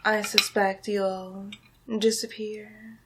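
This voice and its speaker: A woman playing a scheming, power-hungry royal vizier in an ASMR villain roleplay, speaking softly and deliberately with theatrical menace and often dropping into a whisper. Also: medium pitch, level tone, noisy background, close to an everyday voice. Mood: gloating menace. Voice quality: soft, breathy, half-whispered.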